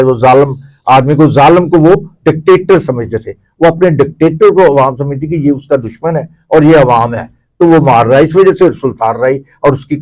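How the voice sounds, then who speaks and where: A man's voice: on the high side, darkish tone, rough recording. A middle-aged or older man with a Pakistani accent, speaking as an interview guest in a discursive, explanatory way. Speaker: interview guest, theatre commentator. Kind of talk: reflective explanatory interview talk.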